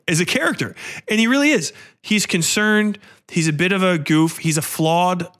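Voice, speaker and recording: A man co-hosting a podcast, giving an emphatic, opinionated film critique with dramatic, drawn-out phrasing and frequent swearing. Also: fairly high pitch, fairly bright tone. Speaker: podcast co-host reviewing a horror film.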